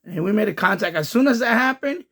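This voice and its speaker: A man's voice: high-pitched, expressive, fast talker, creaky, a bit bright. A man giving casual, rambling running commentary as an amateur radio video host, repeating himself as he explains.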